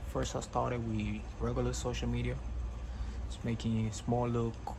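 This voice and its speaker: A young man of about twenty with a gravelly, frying edge to a mid-pitched voice. He talks in blunt, slangy, earnest fashion, like a motivational vlogger addressing viewers directly. Clear noise, with vehicle sounds, sits behind him. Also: flat delivery, moderate pace. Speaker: vlogger and real estate entrepreneur. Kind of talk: casual motivational vlog monologue.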